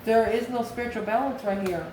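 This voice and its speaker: A woman's voice: fairly high pitch, fairly quick. A woman giving an informal tarot reading straight to the viewer, musing aloud and answering her own questions. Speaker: tarot reader and channel host. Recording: echoey room with steady background noise.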